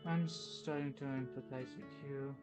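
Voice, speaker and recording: Casting: female gamer commentator, deep-pitched and unhurried, casual and hesitant, game music clearly audible behind her. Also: fairly even intonation, mellow. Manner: hesitant, low-key reactions. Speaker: gaming commentator.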